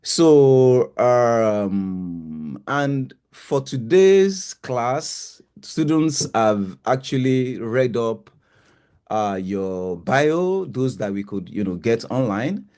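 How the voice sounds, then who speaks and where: A man's voice: medium pitch, sing-song, drawn-out words, darkish tone, clean tone. A male university lecturer introducing a guest speaker to his class, hesitant and full of "you know" fillers.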